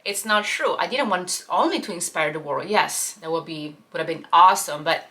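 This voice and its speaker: A woman's voice: lowish voice, normal speed, sharp tone. A woman in her thirties from Italy, speaking English with an Italian accent as a second language, earnestly telling her personal story to camera with many fillers and restarts. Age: 30s. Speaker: life and business coach.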